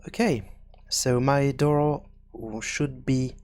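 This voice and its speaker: A man narrates a software tutorial in an unscripted way. His voice sits at a middling pitch, and he speaks haltingly, often pausing partway through a sentence as he explains each step. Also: flat delivery, smooth. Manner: halting, explanatory, frequent pauses mid-sentence. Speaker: tutorial presenter.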